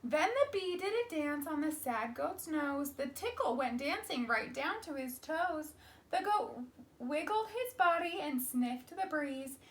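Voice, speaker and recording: A woman teacher reading a children's storybook aloud in an expressive, emphatic way. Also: sits high, measured pace.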